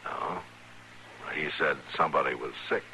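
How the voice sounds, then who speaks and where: An American actor playing a firm, calm frontier marshal in an old-time radio western, measured and quietly authoritative. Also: male voice, very deep voice, a little hiss or hum, exaggerated voice, low-quality recording. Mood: calm, probing.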